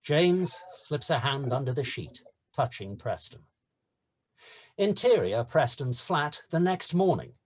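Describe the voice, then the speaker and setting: A man's voice: mid-range voice, very animated, takes it slowly, breathy edge. A man narrating scene headings and stage directions from a script.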